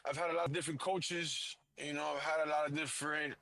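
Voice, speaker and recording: A man's voice: fairly high pitch, fairly even intonation, fast talker, sharp tone. A man in his late thirties from South Florida, a fighter chatting as an interview guest, upbeat and often repeating words as he starts a sentence. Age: 30s. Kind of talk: casual interview chat.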